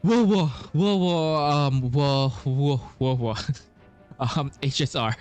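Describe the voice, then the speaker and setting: A man giving casual playthrough commentary, reacting haltingly and muttering to himself. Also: on the high side, a little mellow, clear, resonant tone, faint music behind. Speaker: gameplay streamer.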